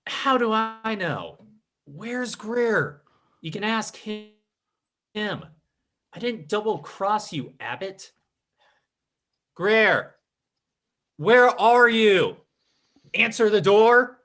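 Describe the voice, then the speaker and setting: A man's voice: sits high, animated, drawn-out words, pauses often, a bit bright, heavily acted. Raja, a man playing a scheming fake psychic. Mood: defiant. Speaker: stage actor in radio play. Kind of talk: live old-time radio drama.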